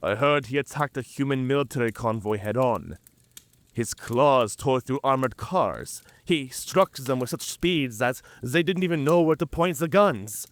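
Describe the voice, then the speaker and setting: A man's voice: medium pitch, lively intonation, a little slow, no echo, lightly acted. A man playing a cunning, proud werewolf in an audio drama, with a theatrical, drawling and mocking delivery. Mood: grave, intrigued. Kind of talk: cinematic audio drama acting.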